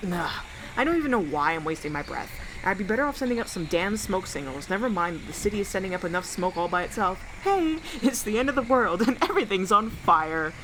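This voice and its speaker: A woman's voice: medium pitch, sing-song, brisk, natural voice. Bitter, sardonic young street survivor played by a woman. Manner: sarcastic, blunt, slangy. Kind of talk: radio drama monologue. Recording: clearly noisy, ambient background noise.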